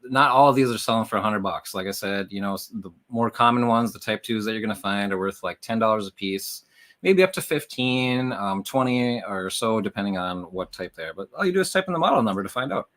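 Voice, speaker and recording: A man with a fairly low voice hosts a livestream for resellers. He explains things casually and conversationally, addressing the viewers directly as he goes. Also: animated, fairly quick, a bit bright.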